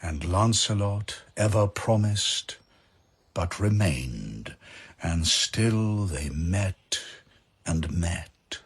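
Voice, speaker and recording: A man narrating dramatic verse in a measured, solemn manner with weighty pauses. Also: sits low, animated, husky.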